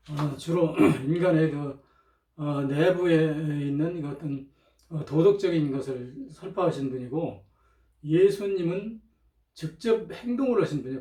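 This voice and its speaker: A man talking in an informal, rambling monologue, hesitant, often clearing his throat, with a slightly strained throat, on a cheap microphone. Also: a little high, warm tone. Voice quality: strained throat from chronic irritation. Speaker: lecturer, channel host. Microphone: cheap, low-volume microphone. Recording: roomy, distant cheap microphone.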